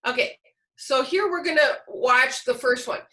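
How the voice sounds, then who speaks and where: A woman giving an informal instructional presentation, haltingly searching for her words. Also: sits high, pushed and bright, poor audio. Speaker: teacher leading a training session.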